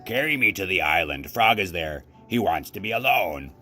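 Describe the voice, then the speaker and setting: A man's voice: deep voice, animated, normal speed, sharp tone, faint music behind. A man voicing an anxious, devoted toad from a children's storybook in an earnest, plaintive way. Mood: earnest, worried.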